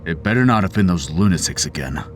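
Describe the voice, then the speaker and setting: A man's voice: sits low, animated, fast talker, a little hiss or hum. An actor playing a stern, bitter middle-aged father in an audio drama, brooding, clipped and authoritarian. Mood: angry, threatening. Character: stern, embittered, domineering father and political activist. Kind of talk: scripted audio drama acting.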